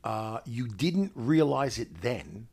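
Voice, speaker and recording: Slow, gravelly New York man. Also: medium pitch, sing-song, darkish tone. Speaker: podcast co-host. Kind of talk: casual podcast talk, explaining.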